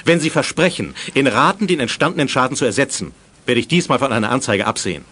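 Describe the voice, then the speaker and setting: A middle-aged director in a children's radio drama. He is stern yet fair and speaks formally and articulately. His delivery is quick and animated, with a lot of melodic movement. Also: mid-range voice, breathy edge. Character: stern but fair male director of a youth village. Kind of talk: 1980s German children's radio drama acting.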